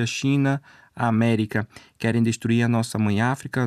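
A man's voice: mid-range voice, a little mellow, dry recording, clean studio sound. A man presenting a radio news programme in a scripted broadcast style, reading out items.

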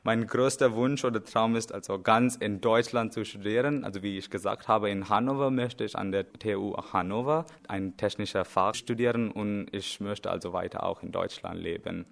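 A young man from India with an Indian accent, a native Hindi speaker, talking about learning German and pausing often to search for words. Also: lowish voice, creaky. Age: young adult. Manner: halting, searching for words with frequent fillers. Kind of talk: personal portrait talk.

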